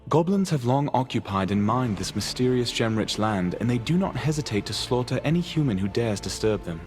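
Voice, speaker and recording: An actor playing a solemn storyteller, narrating ancient lore for a fantasy video game. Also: male voice, mid-range voice, expressive, fairly quick, darkish tone, natural voice. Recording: long reverb, music behind.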